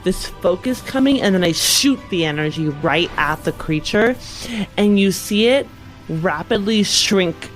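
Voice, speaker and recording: A woman playing a tabletop role-playing game, casually and haltingly describing her actions, with music behind her. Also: on the low side, animated, rough recording.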